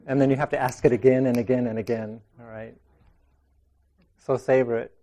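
A man leading a group discussion in a meeting room. He talks in a relaxed way, laughing often and restarting his sentences. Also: medium pitch, a little fast. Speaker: meditation group leader.

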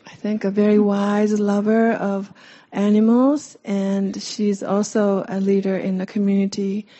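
A woman hosting a meditation evening, introducing the guest teacher with many pauses and ums. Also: mid-range voice, warm tone, dull audio. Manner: hesitant, many ums and uhs. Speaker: host introducing the speaker. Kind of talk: welcoming introduction.

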